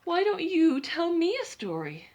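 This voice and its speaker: Storybook chicken dad, read by a woman: patient, weary, gently chiding, very varied pitch. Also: sing-song, unhurried, exaggerated voice.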